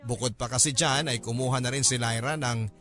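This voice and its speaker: A man's voice: mid-range voice, steady tone, fairly quick, fairly bright tone. A man with a Filipino accent reading a scripted first-person story as the narrator of a radio drama. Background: music.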